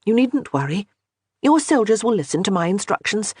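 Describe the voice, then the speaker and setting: A woman voicing a flustered young noble wife, rattled and bossy, in a husky voice. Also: big pitch swings, normal speed, darkish tone, dry recording, studio quality. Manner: rattled, bossy, high-strung.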